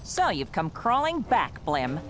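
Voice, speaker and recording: An actress playing a crotchety, proud old female gnome-like inventor in a fantasy video game, gruff and scoffing. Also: fairly low pitch, wildly swooping pitch, unhurried, noisy background. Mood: sour, suspicious. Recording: faint music underneath.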